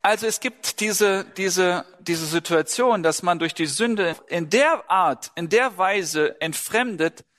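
Brief: male preacher, fairly high voice, chatty sermon, lingering hall echo. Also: slow, hardly any pauses, fairly bright tone. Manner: conversational, asks the congregation questions. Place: church hall. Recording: long hall reverb.

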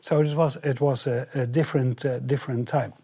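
A man from the Netherlands with a Dutch accent, telling his own story haltingly and repeating phrases. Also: mid-range voice, fairly even intonation, a little mellow, husky. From northern Netherlands. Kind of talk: personal storytelling in English, paused for interpreting.

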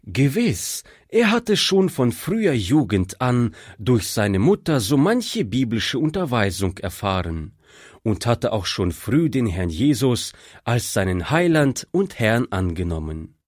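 A man narrating a devout story in a slow, measured audiobook reading. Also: mid-range voice, big pitch swings, dry recording, studio quality.

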